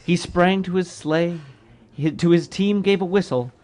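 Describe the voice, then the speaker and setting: A man's voice: high-pitched, normal speed, darkish tone. A man giving a dramatic, emphatic reading of a storybook poem in a live room. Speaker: reader at a charity livestream.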